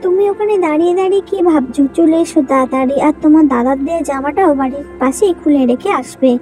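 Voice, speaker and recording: A woman playing a young village bride in an animated moral story, practical and wary, urging and chiding her husband. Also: sits high, quick pace, soft background music, close to an everyday voice. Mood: resolute, chiding.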